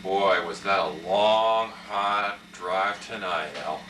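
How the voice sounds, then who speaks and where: A man's voice: on the low side, takes it slowly, sharp tone. A man voicing an easygoing, slightly weary family dad in an amateur puppet show. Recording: echoey, noisy room from far off.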